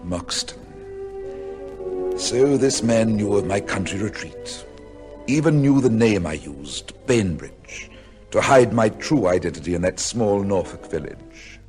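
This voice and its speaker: An actor playing a proud, severe middle-aged judge with a secret life, speaking formally in an old-time radio drama. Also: male voice, lowish voice, expressive, over music. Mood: grave, brooding. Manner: formal, measured, pompous. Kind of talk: old-time radio drama acting, with first-person narration.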